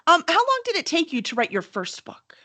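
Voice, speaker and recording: A woman's voice: very high-pitched, wildly swooping pitch, brisk, sharp tone, dry recording. A woman hosting an interview podcast in an upbeat, casual and playful way, with some mock-serious joking. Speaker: podcast host and editorial director. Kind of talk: upbeat scripted intro and casual interview chat.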